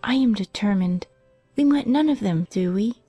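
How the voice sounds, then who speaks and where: A woman's voice: medium pitch, expressive, normal speed, warm tone, husky, no echo. Woman playing a whispering, conspiratorial young lady. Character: possessive, determined young Georgian lady, conspiratorial. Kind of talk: dramatized audiobook dialogue.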